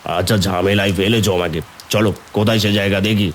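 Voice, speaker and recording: An actor playing a gruff, bossy building secretary in an audio horror story, speaking in curt orders. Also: male voice, low voice, fairly even intonation, a little fast, slight background noise, natural voice. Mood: annoyed.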